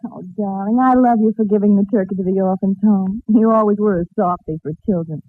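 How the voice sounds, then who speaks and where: An actress playing an affectionate, doting young sweetheart in a 1940s radio comedy, with tender theatrical delivery. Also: female voice, medium pitch, fairly even intonation, a little fast, close to an everyday voice. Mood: tender, loving. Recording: scratchy, tinny old-time radio recording.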